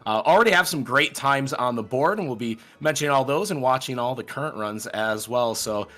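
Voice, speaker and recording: Bright-voiced, projecting male host. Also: mid-range voice, fairly quick. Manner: energetic broadcaster's projection. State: fighting off a sickness. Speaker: host and commentator of a speedrun event. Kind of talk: livestream event commentary. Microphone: own microphone at a desk.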